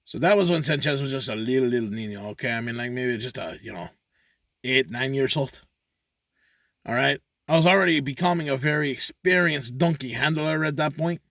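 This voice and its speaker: A man's voice: mid-range voice, expressive, a little fast, gravelly, a bit bright, dry recording, studio quality. A man voicing a crude, boastful male donkey handler, rambling about himself in the third person in a comic monologue. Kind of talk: comedic character monologue.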